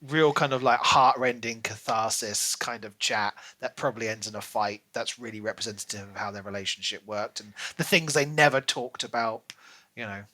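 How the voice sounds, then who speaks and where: Bright forceful guy, podcast co-host, opinionated casual book chat. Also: lowish voice, fairly even intonation, moderate pace. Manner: emphatic, opinionated.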